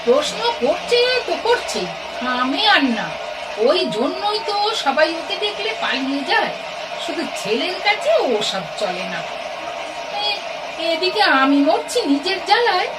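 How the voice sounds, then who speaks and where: A woman's voice: very high voice, sing-song, a little slow, far from the mic, heavy background noise, full character voice. A woman playing an anxious, chatty middle-aged Bengali mother in an audio play, fretful and exclamatory with a homely scolding manner. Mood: exasperated.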